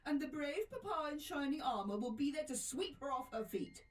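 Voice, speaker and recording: A woman's voice: high-pitched, lively intonation, a little fast, dry recording. A woman playing a resentful, scornful stepmother arguing in a horror radio drama. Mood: angry, bitter. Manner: scornful, argumentative. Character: bitter, exasperated stepmother, sharp and resentful.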